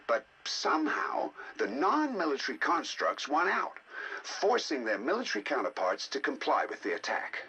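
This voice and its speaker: An actor playing a learned, eager researcher, narrating game audio logs in a measured, articulate, intrigued manner. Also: male voice, medium pitch, bright, forceful tone, husky, full character voice, low-quality recording. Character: learned male researcher, eager and fascinated by alien ruins.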